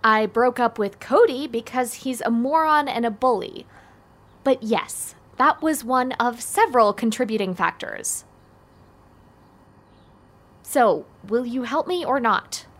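A woman's voice: fairly high pitch, a little slow. A woman playing a blunt, sardonic, unsentimental teenage girl in a naturalistic audio drama, brusque and matter-of-fact. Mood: firm, blunt.

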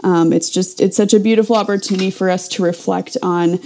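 Earnest young woman with a mellow voice. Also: lowish voice, steady tone, a little fast. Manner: earnest, many fillers and restarts. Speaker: guest participant. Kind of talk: casual sharing in a faith discussion.

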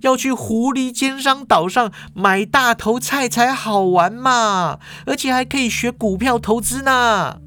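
A man voicing a bubbly, whiny young student who complains in a shrill, drawn-out way. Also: bright, forceful tone, full character voice.

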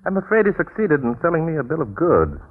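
A man's voice: on the high side, wildly swooping pitch, fast talker, breathy edge, heavily acted. A man playing an urbane, dryly witty, inquisitive amateur detective in a polished, wry 1940s radio drama style. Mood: thoughtful, measured. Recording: muffled, narrow 1940s radio recording.

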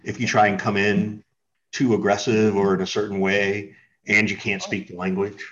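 A man's voice: fairly low pitch, little pitch movement, moderate pace, fairly bright tone, dull audio. A man hosting a podcast, asking curious, rambling questions. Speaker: podcast host. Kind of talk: casual podcast conversation.

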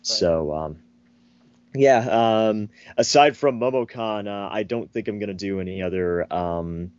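A slightly hoarse man co-hosting a podcast, chatting casually in a halting, rambling way. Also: fairly low pitch, darkish tone, dry recording. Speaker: podcast co-host. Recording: dull, dry, lo-fi voice track.

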